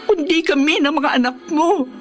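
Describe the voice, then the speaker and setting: A woman's voice: high-pitched, moderate pace, close to an everyday voice. An actress playing a devoted wife in a radio drama, tenderly imploring and tearful as she comforts her sick husband. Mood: reassuring. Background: dramatic music.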